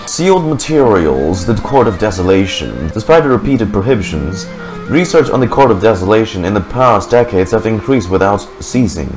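A young man with a fairly low voice streams a game. He reads on-screen text aloud with casual reactions, close to the mic, with clear music playing behind. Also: big pitch swings, normal speed. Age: young adult. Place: indoor room. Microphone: desk microphone on boom arm, close.

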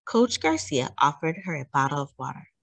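A woman reading a children's storybook aloud in an expressive, acted-out way. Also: deep voice, moderate pace, gravelly. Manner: expressive, acts out dialogue. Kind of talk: animated scripted storybook narration.

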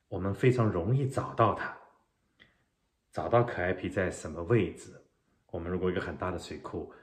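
A middle-aged man teaching a fishing technique in an unhurried way, explaining step by step with pauses, filmed at home indoors. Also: low-pitched. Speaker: fishing channel host. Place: home living room, indoors. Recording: roomy echo.

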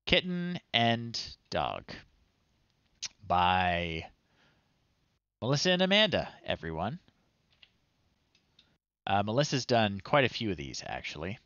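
Male stream host, thirties, casual and amused, crisp tone, leisurely delivery. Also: fairly low pitch, very animated, fairly bright tone, no room sound, lo-fi sound. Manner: unhurried, amused, halting with pauses. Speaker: livestream host. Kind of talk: casual livestream commentary, reading children's stories aloud. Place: small home room, indoors.

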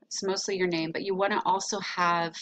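A woman presenting a website audit as a consultant, talking through a screen share in a casual, explanatory way with hesitant pauses. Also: on the low side, level tone, fairly bright tone.

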